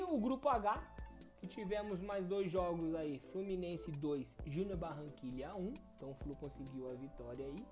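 A young Brazilian man co-hosting a casual football podcast, running through results and stumbling over foreign team names. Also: high-pitched. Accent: Brazilian Portuguese. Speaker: podcast co-host. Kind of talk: casual sports podcast chat.

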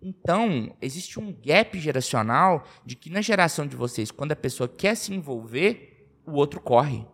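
A man's voice: fairly high pitch, animated, normal speed, a bit bright. A man in his twenties with a Brazilian Portuguese accent, co-hosting a casual podcast and explaining his views with examples. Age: 20s. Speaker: podcast co-host. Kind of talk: casual chat.

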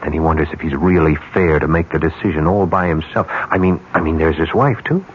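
An actor playing a cynical, persuasive ad executive in a radio mystery drama, smooth, chuckling and insistent. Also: male voice, very deep voice, fairly quick. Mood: smugly persuasive. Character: cynical, persuasive male ad executive scheming to corrupt a colleague. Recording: dull, noisy old radio recording.

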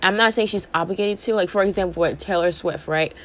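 A woman's voice: on the low side, fast. Chatty young woman with a strained voice. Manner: chatty, fillers like "like" and "you know". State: losing her voice. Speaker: podcast host. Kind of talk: casual entertainment gossip commentary.

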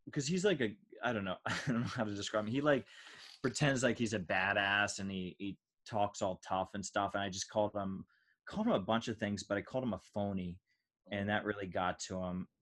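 A man's voice: lowish voice, a little fast, a bit bright. An American man, a stand-up comedian, telling anecdotes casually in a rambling, self-deprecating way. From United States.

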